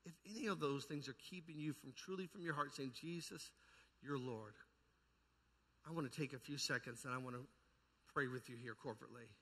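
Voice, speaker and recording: Male pastor, forceful bright delivery, fervent evangelical preaching with emphatic repetition, amplified in a long-reverb church hall. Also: mid-range voice, brisk. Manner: puts rhetorical questions to the congregation. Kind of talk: charismatic evangelical sermon. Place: large indoor church hall.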